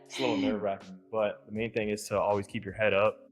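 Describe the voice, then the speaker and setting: A deep-voiced man talks quickly and in a matter-of-fact way, sharing his experience from his old broadcasting job. Faint music plays behind him. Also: little pitch movement. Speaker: video crew member and former broadcaster. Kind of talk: casual conversation.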